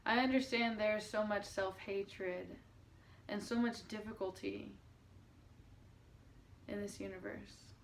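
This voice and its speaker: A woman speaking as a spiritual healer and meditation guide, addressing the listener in a halting way with long pauses and drawn-out words. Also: medium pitch, steady tone, vocal fry. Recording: noticeable background noise.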